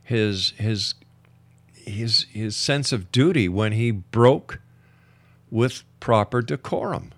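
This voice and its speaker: A man's voice: on the low side, slow, a bit bright, dry recording. A man hosting an interview, putting probing questions in a skeptical, challenging manner. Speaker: interview host.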